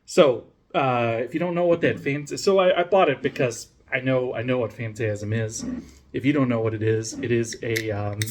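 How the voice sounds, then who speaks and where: A man chats his way through a beer review, his voice sitting at an ordinary middle pitch. He rambles, restarts his sentences, drops a swear now and then, and makes wry jokes at his own expense. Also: normal speed. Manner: rambling, self-mocking, profane, many fillers and restarts. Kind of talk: casual rambling review vlog. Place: home room, lives in Denmark.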